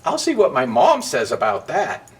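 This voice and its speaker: A man's voice: on the high side, huge pitch swings, normal speed. A man voicing a plucky, defiant talking book in a storybook, with cheeky, emphatic delivery. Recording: distant mic with faint background noise.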